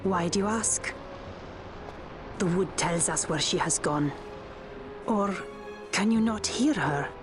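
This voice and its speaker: An actress playing a stern, aloof female leader of a forest people in a video game, speaking in measured, formal, archaic phrases. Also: on the low side, over music. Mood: haughty, stern.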